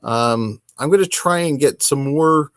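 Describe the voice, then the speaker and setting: A man hosting a hobby podcast, talking casually on his own in a rambling, halting and self-deprecating way. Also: fairly high pitch, fairly bright tone, no room sound. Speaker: host of a tabletop wargaming podcast. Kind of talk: casual solo chat to camera.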